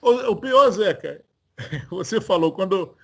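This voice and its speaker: A man's voice: sits high, lively intonation, unhurried, gravelly, slight background noise. A man with a Brazilian Portuguese accent hosting a political commentary talk, earnest and argumentative as he builds his points. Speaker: host of political commentary show.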